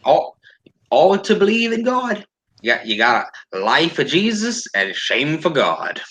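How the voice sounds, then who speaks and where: A man's voice: on the high side, wildly swooping pitch, unhurried, a bit bright, a little hiss or hum. A man hosting a casual commentary chat in a blunt, sardonic, sweary way. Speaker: host.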